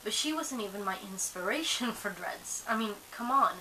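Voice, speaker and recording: Swedish girl vlogging, kinda sleepy, lots of um and like, bright voice, bit of hiss. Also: on the high side, animated, normal speed, low-quality recording. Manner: rambling, with many fillers and restarts. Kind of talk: casual chat to camera. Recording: faint hiss.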